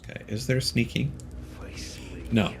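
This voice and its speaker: A man's voice: mid-range voice, steady tone, unhurried, slight background noise. A young man streaming a video game, talking casually and frankly, rambling and swearing freely. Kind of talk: casual gameplay commentary.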